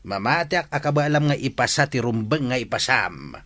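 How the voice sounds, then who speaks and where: A man's voice: mid-range voice, expressive, normal speed, fairly bright tone. An actor playing an encouraging, hearty older uncle in a radio drama, reassuring his nephew.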